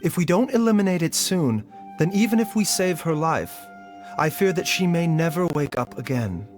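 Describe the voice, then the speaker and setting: An actor playing a composed, gentle male doctor in a video game, speaking formally and deliberately with grave seriousness. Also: fairly high pitch, lively intonation, soft background music, close to an everyday voice.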